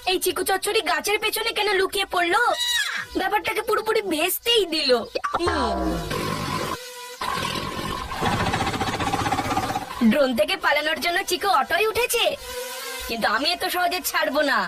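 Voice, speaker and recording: A woman's voice: very high voice, animated, a little fast, noisy background. A woman voicing a bratty, gloating young child in a cartoon comedy dub, exaggerated and taunting. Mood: determined, exasperated. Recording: loud background music.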